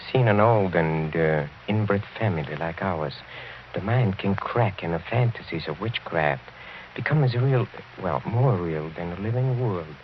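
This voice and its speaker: An actor playing a sinister, courtly older count in a radio horror drama, speaking with suave, deliberate menace. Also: male voice, sits low, expressive. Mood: darkly fervent. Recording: dull, noisy old radio recording.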